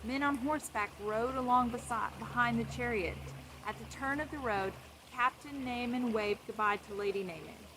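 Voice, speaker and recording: A woman's voice: a little high, normal speed. A woman reading a Bible story aloud for children, explaining it simply and repeating phrases. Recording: clear steady background noise.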